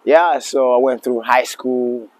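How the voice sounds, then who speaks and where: A man's voice: mid-range voice, fairly even intonation, takes it slowly, a little mellow, low-quality recording. Hesitant middle-aged man from Tanzania. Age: 40s. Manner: hesitant, many fillers and restarts. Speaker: interview guest, lodge owner. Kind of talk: casual interview talk.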